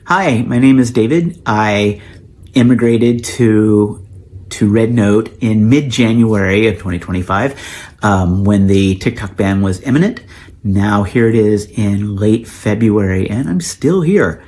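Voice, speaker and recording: A man's voice: on the low side, measured pace, darkish tone. A man from the United States introducing himself in his first video post, friendly and enthusiastic, with many ums.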